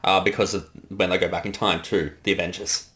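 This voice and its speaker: Low-pitched man rambling through commentary. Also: level tone, a little fast, a bit bright. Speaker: creator giving director's commentary. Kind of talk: casual unscripted commentary chat.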